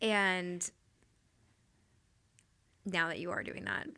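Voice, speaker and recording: Bright-voiced chatty woman host. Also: fairly low pitch, extremely slow, clear, resonant tone. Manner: chatty, friendly, laughs often. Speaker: podcast host. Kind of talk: casual interview chat.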